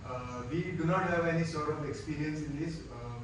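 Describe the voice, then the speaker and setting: A man's voice: fairly high pitch, a little fast. A young man from Goa with an Indian English accent, hosting a casual podcast and asking friendly, hesitant questions. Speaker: podcast host. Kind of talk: casual chat. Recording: echoey room, faint noise.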